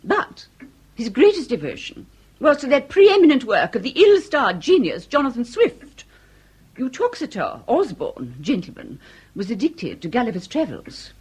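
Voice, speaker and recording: An actress playing an anxious, genteel Victorian wife with an English accent and a fluttering, over-polite, halting manner, in period comedy radio drama. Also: female voice, a little high, wildly swooping pitch, darkish tone, husky, full character voice. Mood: grandly declaiming.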